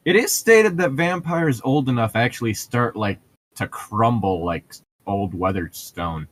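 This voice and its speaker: A man's voice: mid-range voice, expressive, unhurried, no room sound. A man co-hosting a joking group read-along, with a teasing, sardonic way of talking. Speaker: podcast co-host reading a novel aloud.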